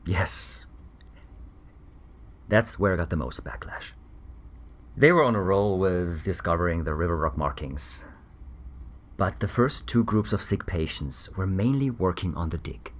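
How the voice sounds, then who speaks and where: Guy acting as a ex-army doc on mars, deep voice, grave and defensive, radio play interview. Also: animated, measured pace, long pauses. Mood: grave, measured. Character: weary, defensive male German ex-army doctor on Mars. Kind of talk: dramatic interview-style audio drama.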